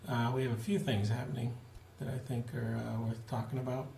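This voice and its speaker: A man in his forties giving a relaxed, plain-spoken video update to supporters from a small home office, with frequent fillers. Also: mid-range voice, a little fast, vocal fry, a little hiss or hum. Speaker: missionary giving a family update. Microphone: webcam at desk. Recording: roomy webcam audio with faint ambience.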